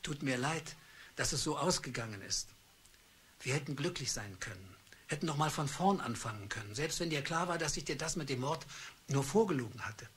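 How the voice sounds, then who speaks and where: A coldly accusing husband. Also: male voice, medium pitch, expressive, fairly bright tone, breathy edge. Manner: cold, accusing, deliberate. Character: bitter middle-aged husband turned cold avenger. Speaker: husband Charles. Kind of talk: radio play acting.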